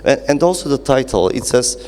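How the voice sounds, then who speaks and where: A man's voice: medium pitch, very animated, a little mellow, a little hiss or hum. Male moderator, reverberant hall. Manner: halting, rephrasing as he builds questions. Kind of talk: moderating a live literary conversation. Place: indoor event space with reverberant acoustics. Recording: echoey hall with long reverb.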